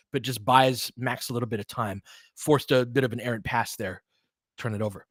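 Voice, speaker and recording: Male play-by-play host for basketball. Conversational, thinks out loud, breaks down the action, recorded dry. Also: mid-range voice, dry recording. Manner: conversational, thinking aloud, analytical. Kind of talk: live basketball commentary with casual asides.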